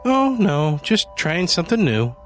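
A man's voice: high-pitched, big pitch swings, unhurried, a bit bright, faint music behind, voice pushed up, heavily acted. A man voicing a frantic, grieving woman who pleads and screeches over her kitten. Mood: cheerful.

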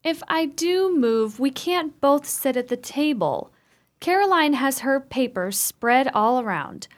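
Woman acting an anxious, high-voiced sister, very animated. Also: measured pace. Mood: puzzled, fretful. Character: anxious, easily alarmed sister, fretful and questioning. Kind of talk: radio play acting.

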